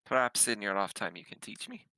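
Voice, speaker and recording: A man's voice: fairly low pitch, brisk, bright, forceful tone, smooth, no echo. A man playing a casual, wry female spaceship captain in a tabletop game, speaking in an offhand way.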